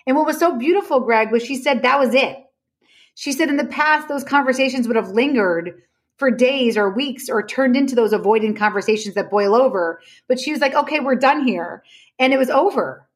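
A woman's voice: high-pitched, animated, brisk. A woman explaining her ideas conversationally as a podcast guest, telling anecdotes emphatically and acting out reported dialogue.

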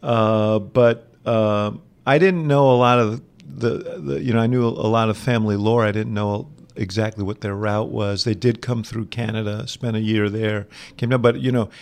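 A man's voice: on the low side, fairly even intonation, normal speed, hardly any pauses, darkish tone, clean tone. An American man from New York hosting a podcast, interviewing in a casual conversational way. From New York, United States.

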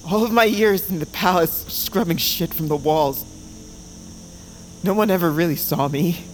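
A man playing a desperate, guilt-ridden bird-folk assassin on the run in a fantasy audio drama, speaking in a halting, intense way. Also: a little high, slight background noise, natural voice. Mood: haunted, halting.

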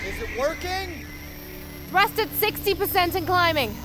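An actor in an audio drama playing a high-voiced assistant who reports machine readings. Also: expressive, measured pace, loud music behind the voice, low-quality recording. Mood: focused.

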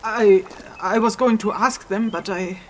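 An actress playing a hesitant, apologetic woman adventurer in a fantasy audio drama, halting as she speaks. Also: mid-range voice, a little hiss or hum, lightly acted. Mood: hesitant, placating.

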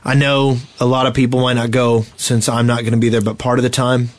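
A man's voice: medium pitch, level tone, brisk. A man co-hosting a podcast, joking with mock drama in casual banter with friends. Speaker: podcast co-host.

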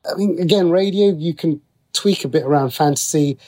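A man's voice: a little high, fairly quick, warm tone. A man answering interview questions as a writer, talking casually and breaking into his own sentences as he explains. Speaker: guest, TV and radio writer.

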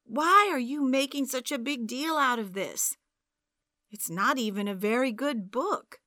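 A woman's voice: sits high, unhurried, bright, forceful tone, dry recording, studio quality, pitched above the performer's own voice. A woman voicing a defensive young man who apologizes while brushing off complaints. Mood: dismissive. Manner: apologetic then dismissive, wheedling excuses. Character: defensive young adult man, sheepish boyfriend.

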